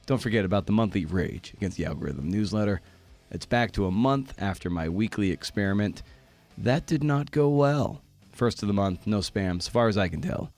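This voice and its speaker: A man's voice: lowish voice, animated, moderate pace, darkish tone, soft background music. A middle-aged man hosting a podcast in a casual style, with a wry, self-deprecating manner. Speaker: podcast host. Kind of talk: casual podcast monologue and interview.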